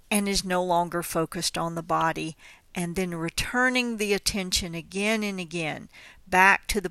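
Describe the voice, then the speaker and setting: Slow, bright-voiced woman guiding meditation. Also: lowish voice, vocal fry, dry recording. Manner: gentle, unhurried, measured phrasing. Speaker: meditation guide. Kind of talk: guided mindfulness body scan instruction.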